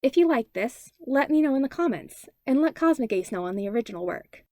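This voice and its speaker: A woman narrating a fanfiction audiobook in an expressive read-aloud manner on a close mic. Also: high-pitched, fairly quick, no echo. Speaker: fanfiction podfic reader. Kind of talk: storybook narration.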